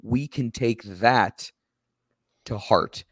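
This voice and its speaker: A man co-hosting a casual wrestling podcast, a little hoarse, talking emphatically and ranting at times. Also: medium pitch, very slow, no room sound, studio quality. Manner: emphatic, ranting, animated when quoting others. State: slightly hoarse.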